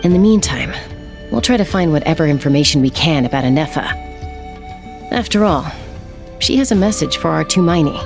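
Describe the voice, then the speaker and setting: A woman voicing a gentle, hospitable young tribeswoman in a video game. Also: sits low, animated, brisk, vocal fry, pauses often. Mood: calm. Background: game soundtrack music.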